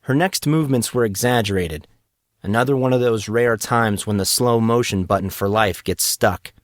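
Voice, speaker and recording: A man narrating his own fantasy serial in a steady, measured storytelling manner. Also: fairly low pitch, dry recording, studio quality. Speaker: author and narrator. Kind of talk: scripted fantasy audiobook narration.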